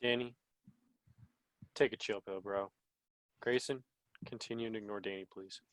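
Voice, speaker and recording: A man's voice: lowish voice, fairly even intonation, normal speed, a bit bright, no echo, lightly acted. Young man acting casual gamer, dry sound. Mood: supportive. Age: young adult. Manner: casual, slangy. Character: Max, laid-back, friendly young gamer. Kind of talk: student one-act play acting.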